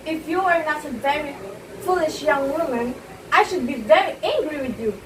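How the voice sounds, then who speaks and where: A woman's voice: sits high, normal speed, natural voice. Proud, condescending stage diva, played by a woman, formal amateur theatre delivery, distant mic, noisy recording. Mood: indignant. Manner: theatrical, haughty, formal diction. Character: proud, poised glamorous stage actress, amused and condescending. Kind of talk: amateur one-act stage play dialogue. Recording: echoey room, distant mic, clear noise.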